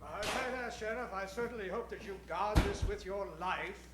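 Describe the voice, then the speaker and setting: Guy doing a worried prim bank manager in a western radio skit, high voice, echoey. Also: normal speed, loud music behind the voice, natural voice. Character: fussy, worried small-town bank manager in Old West. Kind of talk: improvised old-time radio comedy acting. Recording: roomy, echoey live stage.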